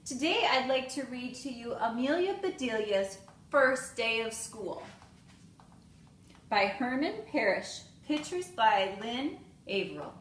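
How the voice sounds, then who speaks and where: A woman reading a children's storybook aloud in an expressive way, addressing young students. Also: fairly high pitch, takes it slowly. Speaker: school staff member reading aloud to students. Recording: roomy echo, clear background noise.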